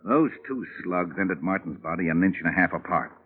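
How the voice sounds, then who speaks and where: An actor playing a brisk, matter-of-fact police sergeant in a 1950s radio drama. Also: male voice, low-pitched, expressive. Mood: businesslike. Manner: brisk, businesslike, plain-spoken. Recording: tinny old radio broadcast.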